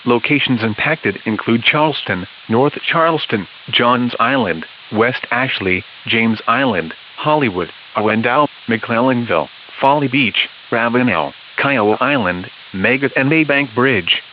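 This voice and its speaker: A man reads a formal, scripted emergency weather bulletin in an impersonal, measured way. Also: medium pitch, lively intonation. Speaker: weather alert announcer. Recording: dull hissy weather-radio broadcast audio.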